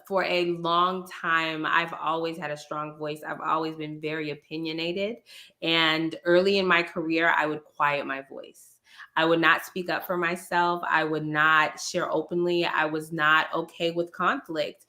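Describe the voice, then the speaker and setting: A woman's voice: deep voice, sharp tone. A woman answering as a podcast guest in a conversational, emphatic way, often speaking in short repeated phrases. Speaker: podcast guest, entrepreneur and sales expert.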